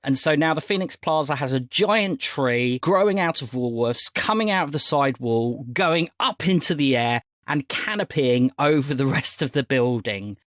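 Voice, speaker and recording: A man's voice: a little high, fairly bright tone, no echo. Man hosting, close dry sound. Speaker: podcast host and game master. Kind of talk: casual chat and game recap.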